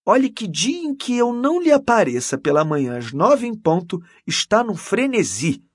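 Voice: mid-range voice, sing-song, a little slow, slightly rough, no room sound, clean studio sound. A reader voicing a pompous, self-satisfied, gossipy male priest who exclaims and repeats himself unctuously in an audiobook story. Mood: gushing, excited.

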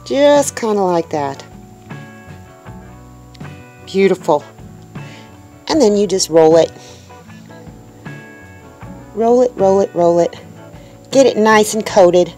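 Upbeat homesteading woman, casual chatty delivery to viewers, average pitch, light music bed. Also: expressive, slow, long pauses, mellow. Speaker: homestead vlogger. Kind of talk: casual cooking demonstration. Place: indoors in a small tiny-house kitchen.

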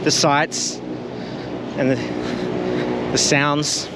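A man's voice: fairly high pitch, level tone, very slow. Chatty male vlogger walking a street. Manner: chatty, rambling, self-deprecating. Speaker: travel vlogger; teacher. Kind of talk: casual walking commentary. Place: outdoors on a town street and bridge. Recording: street noise behind the voice.

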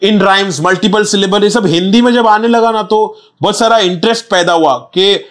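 A young man with a Mumbai Hindi accent, telling stories in a casual interview with lively, repetitive street slang. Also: high voice, a little slow. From Mumbai, India. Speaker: rapper guest. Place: small studio set with chairs.

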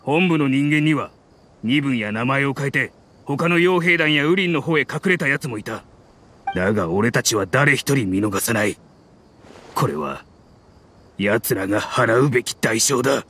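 An actor voicing a grim, loyal male mercenary in a game dub, telling a grave story in a measured way. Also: medium pitch, animated, sharp tone, slight background noise.

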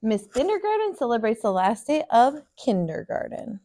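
An American woman in her thirties, a teacher reading a picture book aloud to children in an expressive, sing-song way. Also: fairly high pitch, moderate pace. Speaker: kindergarten teacher, storyteller. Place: small furnished room, indoors.

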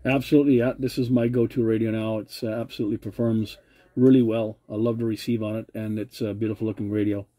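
A man's voice: fairly low pitch, little pitch movement, quick pace, warm tone, no echo. A man making a CB radio contact from his home radio desk, courteous and using radio procedure phrasing, into a desk mic. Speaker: CB radio operator.

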